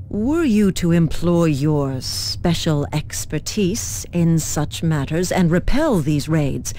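A coolly official military liaison, a woman delivering game briefings. Her voice is mellow and slightly low, with measured, formal phrasing. There is light noise behind it. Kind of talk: scripted game mission briefing.

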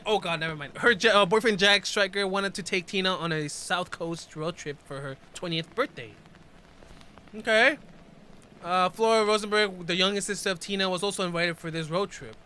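High-pitched young man doing casual reactions. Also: sharp tone, smooth. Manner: informal, slangy, exclaiming. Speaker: reaction video host. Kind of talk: casual live reaction, reading on-screen text aloud and commenting.

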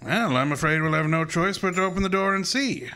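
A man playing a pompous, shrewd detective in a radio drama, with a formal, measured manner. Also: high-pitched, sharp tone. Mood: dry, grumbling.

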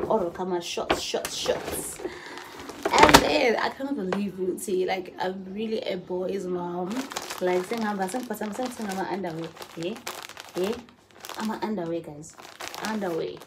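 A woman's voice: on the low side, very noisy. A woman, chatting casually through a haul vlog in her bedroom, repeating phrases and slipping into Zulu words. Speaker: vlogger. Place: bedroom, indoors. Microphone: phone camera at arm's length.

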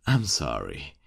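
A man playing a menacing, mocking male serial killer in an ASMR horror roleplay, taunting and chuckling in a hushed voice. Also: sits low, vocal fry, husky, full character voice.

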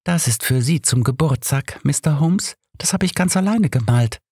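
An eager little girl , voiced by a male reader in an audiobook. The pitch is pushed up and lively, with an earnest, innocent insistence. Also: moderate pace, husky, no echo, clean studio sound. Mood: proud. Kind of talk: audiobook character reading.

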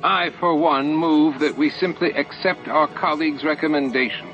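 A crafty old alien elder, voiced by a man in a sci-fi audiobook. He is courtly and persuasive, with a raised pitch. The recording is slightly muffled. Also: fairly even intonation, moderate pace, soft background music, lightly acted.

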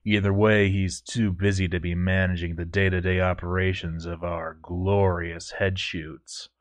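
A proud dwarf overseer, acted out by a man, narrates his fortress journal in a fairly low voice. He is formal and measured, with a self-important, dutiful air, as in a scripted fantasy story. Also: little pitch movement. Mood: self-assured. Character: proud, stern male dwarf fort overseer, grandly dutiful.

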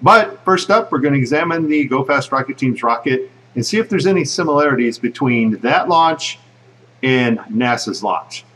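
A man with a husky, middle-pitched voice talks casually over footage. He narrates conversationally, speculating as he goes. The microphone sits a little away from him. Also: animated, normal speed, fairly bright tone, far from the mic. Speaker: video narrator.

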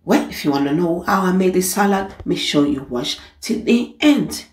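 A woman's voice: lowish voice, animated, moderate pace, echoey room, a little hiss or hum. A woman hosting a home cooking channel, talking casually over her recipe and repeating her points to the viewers. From Nigeria. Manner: chatty, repeats "guys" and "it's a must".

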